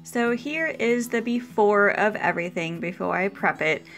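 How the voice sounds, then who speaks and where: A woman's voice: medium pitch, moderate pace, a bit bright. A woman hosting a cooking video, explaining her meal prep step by step in a chatty, practical voice-over.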